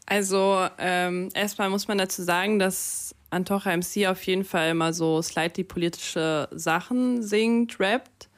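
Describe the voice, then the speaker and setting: A woman's voice: mid-range voice, fairly even intonation, measured pace, hardly any pauses. A young woman whose first language is Russian, a DJ talking casually as a guest in a campus radio studio, with lots of fillers and English slang. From Germany. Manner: chatty, many fillers and English slang words. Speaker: DJ, interview guest.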